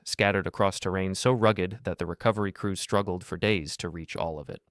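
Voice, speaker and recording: Man, very low voice, dry close studio sound, measured grave narration. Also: smooth, no echo. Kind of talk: scripted documentary narration.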